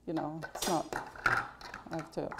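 A woman's voice: low voice, level tone, normal speed, gravelly, heavy background noise. A middle-aged woman chef giving a casual cooking demonstration in a large kitchen classroom, chatty and explanatory with lots of fillers.

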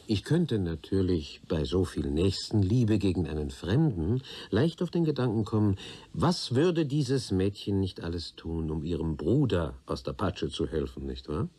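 A man's voice: sits low, lively intonation, a little slow, warm tone, slightly rough, exaggerated voice. A male actor playing a shrewd, dry official questioning witnesses in a radio play, formally polite and probing. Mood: wry, needling. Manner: formally polite, wry, inquisitive. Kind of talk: German radio play acting.

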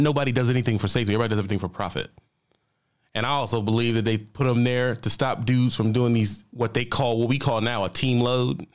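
A fairly low-voiced man talks over a video on his home stream, blunt and full of slang. He speaks close to a studio microphone, and his asides come quick and emphatic. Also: smooth. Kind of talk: casual reaction commentary. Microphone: studio mic on boom, headphones.

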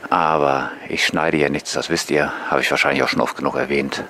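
A man giving relaxed, musing, casual commentary to viewers as a vlogger on a bike tour. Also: very deep voice, fairly quick. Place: outdoors on rural paths and waterside. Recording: noisy outdoor recording with passing vehicles.

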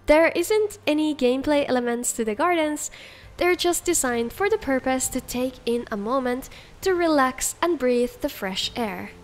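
A woman hosting a video, reading scripted showcase narration. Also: sits high. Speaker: video host. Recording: faint music under the voice.

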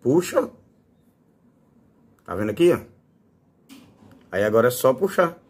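Lively, slightly husky Northeastern Brazilian man. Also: mid-range voice, a little slow. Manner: informal, fond of diminutives. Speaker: fishing channel host. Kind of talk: casual hands-on tutorial.

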